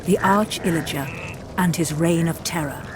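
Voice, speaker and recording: A woman playing a grave storyteller in a fantasy game, narrating dramatically and deliberately over loud music. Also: sits low, background noise, close to an everyday voice. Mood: grave, rallying. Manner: solemn, theatrical, deliberate phrasing. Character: grave storyteller guiding heroes through a fantasy quest.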